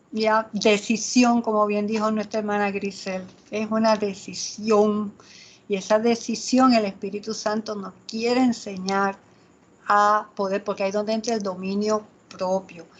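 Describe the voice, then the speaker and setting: A woman's voice: medium pitch, steady tone, measured pace. A woman leading a group Bible study, mixing in English fillers and laughing often. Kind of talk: group discussion moderating. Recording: muffled video call audio.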